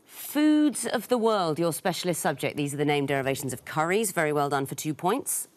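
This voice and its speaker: A woman's voice: sits low, expressive, brisk. Dry-witted woman hosting a quiz. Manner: dry, wry, teasing. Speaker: quiz show host. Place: television studio.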